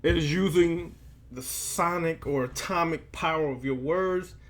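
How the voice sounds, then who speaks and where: A man with a somewhat high voice delivers an occult lecture at a very slow pace. He breaks his thoughts into short, halting phrases with long pauses between them. Key lines come back again for emphasis, as a preacher would do. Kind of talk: esoteric lecture, preaching to listeners.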